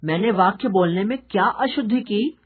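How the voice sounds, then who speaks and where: An inquisitive schoolboy acted by a man delivers scripted lesson material in an instructional way. The voice is very raspy and rather high, with lively intonation. It sounds muffled and phone-like. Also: normal speed. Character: Rahul, schoolboy pupil, inquisitive younger brother. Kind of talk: scripted educational narration and dialogue.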